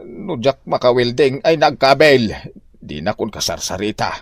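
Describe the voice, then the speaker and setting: Man acting animated, grumbling old Ilocano husband. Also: medium pitch, moderate pace, no echo, clean studio sound. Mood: complaining. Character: stubborn, aggrieved old Ilocano husband, comic. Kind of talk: comedy radio drama acting.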